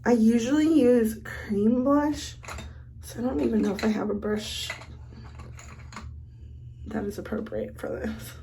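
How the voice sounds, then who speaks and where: Sick woman with a hoarse, gravelly voice. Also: on the high side, unhurried, long pauses, dark tone, a little hiss or hum. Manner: chatty, thinks aloud while applying makeup. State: sick and losing her voice. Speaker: beauty vlogger. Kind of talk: casual get-ready-with-me makeup chat.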